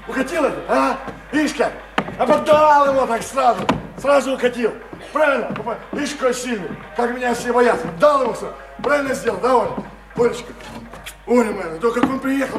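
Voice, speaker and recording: A rough, simple man from a small town, excitable and insistent, in a strikingly high voice. He talks in colloquial, repetitive bursts, boastful and coaxing, in a theatrical Russian radio play style. The sound is roomy, with music clearly behind him. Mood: boastful agitation.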